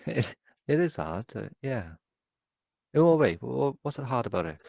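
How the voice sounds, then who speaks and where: A man's voice: medium pitch, big pitch swings, measured pace, gravelly, a little mellow, dry recording, highs cut off. A man co-hosting a podcast in casual chat, contrary and matter-of-fact as he teases his co-host.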